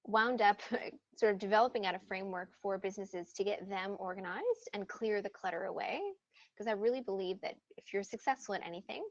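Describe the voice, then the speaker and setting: A woman with an American accent, calling in as a guest and explaining things in a relaxed, conversational way. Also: medium pitch, no echo. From upstate New York. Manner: relaxed, conversational, frequent ums and "you know". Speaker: guest speaker. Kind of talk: conversational interview answer. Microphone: call-in line. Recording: dull dry remote call line.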